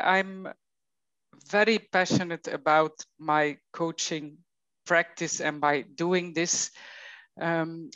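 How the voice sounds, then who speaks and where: Middle-aged flemish belgian woman, coach being interviewed, real slow and careful, dry sound. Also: fairly low pitch, no room sound, muffled recording. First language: Dutch. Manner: slow, thoughtful, with frequent fillers. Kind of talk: conversational interview.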